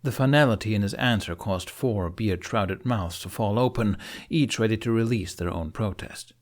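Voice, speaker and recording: Male narrator for fantasy fiction audiobook, low register, dramatic storytelling read. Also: normal speed.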